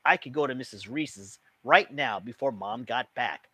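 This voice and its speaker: A man reading a children's book aloud as an expressive storyteller. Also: mid-range voice, normal speed, slightly rough, dry recording.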